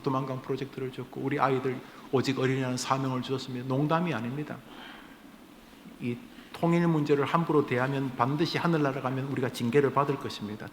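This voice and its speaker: A man's voice: mid-range voice, a little hiss or hum. A man, a pastor, preaching a sermon in a humorous, conversational way, acting out bits of dialogue. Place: church sanctuary. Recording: echoey church hall, faint ambience.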